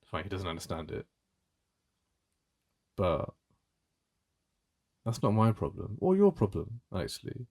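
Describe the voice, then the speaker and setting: Male actor as protective, jealous English best friend for ASMR roleplay; very low, creaky voice, halting and intimate. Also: big pitch swings, darkish tone, exaggerated voice. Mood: defensive. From England. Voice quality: deep. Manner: halting, conversational, emphatic repetition.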